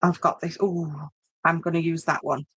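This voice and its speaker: Low-pitched Yorkshire woman, chatty crafter. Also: level tone, normal speed, a bit bright, husky, dry recording. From Yorkshire, northern England. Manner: chatty, thinking aloud, tag questions. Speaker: craft tutorial host. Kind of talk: informal live craft demonstration, chatting to viewers.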